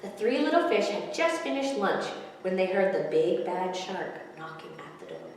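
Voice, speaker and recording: A woman's voice: medium pitch, sing-song, moderate pace. A woman in her thirties presenting and reading picture books aloud to children. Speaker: children's library storyteller. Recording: echoey hall, distant mic, background noise.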